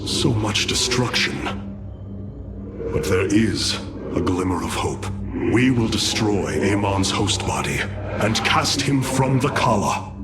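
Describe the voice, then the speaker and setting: An actor playing a noble alien commander in a video game, speaking with solemn, stately authority. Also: male voice, on the low side, expressive, slow pace. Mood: grave determination. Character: noble, resolute male alien warrior leader. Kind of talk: dramatic video game cutscene acting. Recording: loud orchestral game score behind. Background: loud cinematic music.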